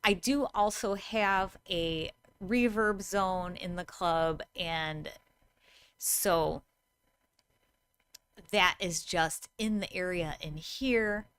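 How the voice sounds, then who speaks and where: A woman's voice: on the low side, very slow, a bit bright, smooth, dry recording. A woman in her thirties giving a tutorial to camera in a conversational, explanatory manner. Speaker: host, VR game designer.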